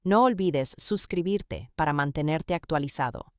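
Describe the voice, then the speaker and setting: A woman reading a scripted podcast introduction in a smooth, even delivery. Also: low voice, moderate pace, a little hiss or hum. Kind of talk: scripted announcement.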